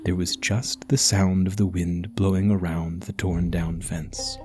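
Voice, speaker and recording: A man reading a scripted ghost story as narrator, dramatic and at times hushed. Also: low-pitched, flat delivery, a little slow, faint music behind.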